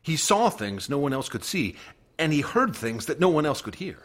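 Casting: worried young male grad student, husky voice, lively swings in pitch, earnest radio-play delivery. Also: moderate pace. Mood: earnest, troubled. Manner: earnest, hesitant, expressive. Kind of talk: radio drama acting.

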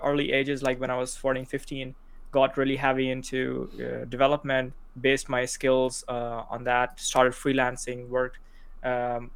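Man in his twenties, hesitant casual talk, smooth voice, monotone. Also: medium pitch, dry recording. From Pakistan. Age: 20s. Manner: hesitant, many fillers and restarts. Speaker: podcast guest. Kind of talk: casual interview chat.

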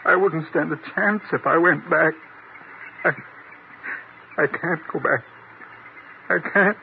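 A man's voice: a little high, takes it slowly, gravelly. An actor playing a desperate, agitated man on the run in a 1950s radio drama, tense and insistent. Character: desperate, jumpy man hiding from killers. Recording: noisy, tinny 1950s radio recording.

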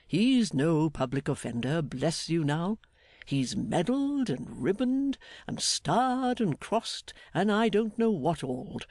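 A man voicing a jolly, blustering older English gentleman in an audiobook, fatherly, emphatic and effusive. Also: sits high, no echo, studio quality, voice pushed up, full character voice. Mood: emphatic, proud. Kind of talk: dramatised audiobook character.

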